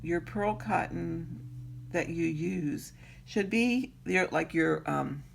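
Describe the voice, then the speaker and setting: A woman with a raspy voice teaching needlework in an easygoing tutorial, pausing often with ums and clearing her throat. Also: fairly low pitch, slight background noise. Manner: slow, unhurried, frequent ums and throat clearing. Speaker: embroidery teacher. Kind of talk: instructional craft tutorial.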